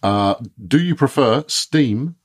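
A man's voice: medium pitch, lively intonation, slow pace. A man hosting a podcast, putting questions to a guest in a conversational interview style.

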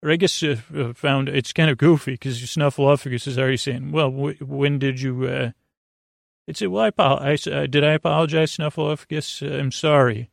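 Gravelly guy doing a goofy rambling bedtime monologue, stammers and wanders, really dry close sound, kinda low. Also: dry recording. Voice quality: creaky, gravelly. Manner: halting, meandering, self-interrupting, stammering over words; sleepy, friendly, goofy. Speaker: host of a bedtime sleep podcast.

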